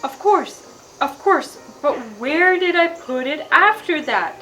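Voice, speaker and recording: A worried, self-blaming storybook father as a woman reads him aloud. The voice is high and earnest, fretting at an unhurried pace, with music behind it. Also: lively intonation, sharp tone, heavily acted. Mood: flustered.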